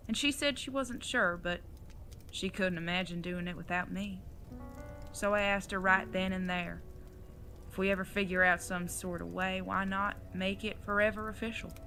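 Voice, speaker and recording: A woman's voice: mid-range voice, steady tone, moderate pace, bright, forceful tone, soft background music. A woman playing an older retired outlaw mother in an audio drama, folksy, plain-spoken and teasing, with affection underneath. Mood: tender, reminiscing.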